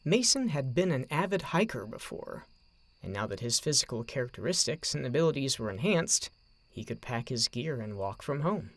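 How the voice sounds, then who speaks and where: A man reads a dramatic story as its narrator. His pitch moves expressively over a wide span, and his voice carries a gravelly creak. The recording is close and dry, and his phrasing is measured. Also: big pitch swings, no echo.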